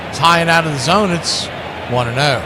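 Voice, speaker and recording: A man's voice: on the high side, brisk. A man doing live radio baseball commentary as a play-by-play announcer, with folksy, colorful phrasing. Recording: clear outdoor ambient noise behind.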